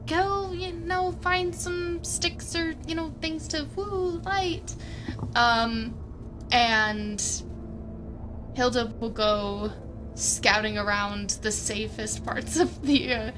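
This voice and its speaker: A woman chatting casually as a player in a tabletop game, tentatively thinking aloud as she describes what her characters do. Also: fairly high pitch. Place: online livestream video call. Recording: clearly noisy background.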